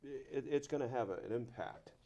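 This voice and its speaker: A man talking casually as a panel guest, plain-spoken and somewhat halting. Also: medium pitch, warm tone, dull audio. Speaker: panel guest, public works worker. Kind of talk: casual chat.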